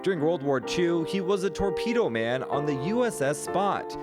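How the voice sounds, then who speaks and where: A man's voice: fairly high pitch, sing-song, normal speed. An American man working as a TV news reporter, delivering scripted news narration. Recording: music behind the voice. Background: background music.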